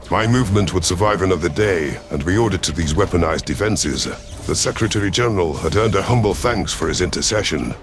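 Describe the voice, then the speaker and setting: A man narrating a science fiction story in the first person, in a dramatic scripted reading style. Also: sits low. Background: background music.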